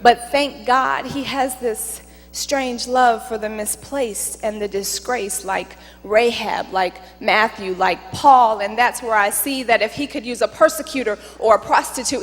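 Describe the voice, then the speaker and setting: A woman performing a spoken word poem in church, with dramatic, deliberate phrasing and emphatic pauses. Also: fairly high pitch, fairly even intonation, a bit bright. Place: church, live recitation. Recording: reverberant hall, music underneath. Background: music.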